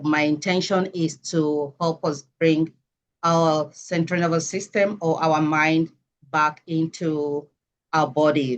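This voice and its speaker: A woman from Nigeria with a Nigerian accent, giving a measured, explanatory talk as a massage therapist over a video call. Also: low voice. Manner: measured, explanatory, self-correcting.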